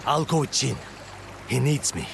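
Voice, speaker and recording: An actor playing a loyal, worried warrior companion in a video game cutscene, speaking with urgent concern. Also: male voice, medium pitch, lively intonation, normal speed, gravelly, noisy background.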